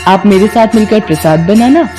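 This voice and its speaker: A woman's voice: medium pitch, expressive, moderate pace, over music, lightly acted. A woman voicing a kind, devout young friend in a moral story, encouraging and reassuring.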